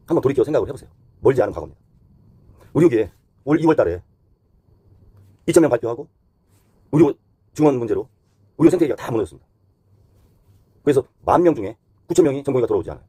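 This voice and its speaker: A man delivers current-affairs commentary at a quick pace, earnest and critical as he poses rhetorical questions. His voice is dark and somewhat raspy, and the recording is close and dry. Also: mid-range voice, no echo. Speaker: host and commentator. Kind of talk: current-affairs opinion monologue.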